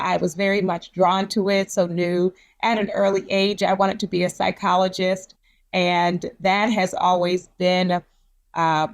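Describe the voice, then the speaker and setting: Woman, lowish voice, psychologist, reflective, personal storytelling. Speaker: psychologist, interviewee. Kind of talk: conversational interview.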